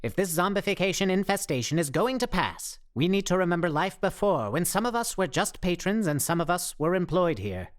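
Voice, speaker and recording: A woman's voice: fairly low pitch, big pitch swings, brisk, hardly any pauses. A woman playing a pedantic, self-important zombie theorist in a radio play, with formal, wordy diction. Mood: indignant.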